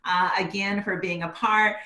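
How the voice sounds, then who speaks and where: A woman's voice: medium pitch, steady tone, normal speed, pushed and bright. Woman host signing off, roomy sound. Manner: appreciative, wrapping up with fillers. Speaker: interview host. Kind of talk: closing thanks and sign-off.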